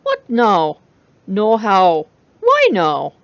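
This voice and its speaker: A woman's voice: mid-range voice, huge pitch swings, drawn-out words, dry recording, dull audio, heavily acted. A woman voicing a talking robot face that trades clipped, nonsensical questions in a story reading. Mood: clipped, questioning.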